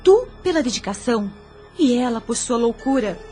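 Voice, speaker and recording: A woman's voice: fairly high pitch, lively intonation, normal speed, dark tone, faint music behind, natural voice. A woman playing a worried, devoted young sister in an audio drama, speaking earnestly and formally.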